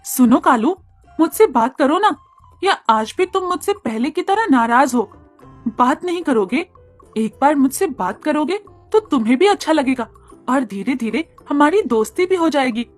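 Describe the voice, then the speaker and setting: Lady doing a sweet friendly young cow, high voice, coaxing, cartoon fable w/ music behind. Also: expressive, normal speed, no room sound. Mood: coaxing, tender. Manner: pleading, coaxing.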